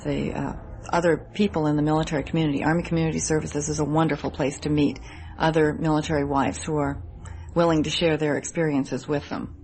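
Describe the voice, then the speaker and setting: Woman, thirties, Midwestern American, low register, earnest and articulate radio interview guest. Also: flat delivery, fast, darkish tone, slight background noise, rough recording. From Midwest United States. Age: 30s. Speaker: interview guest, military wife. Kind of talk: radio interview, answering questions.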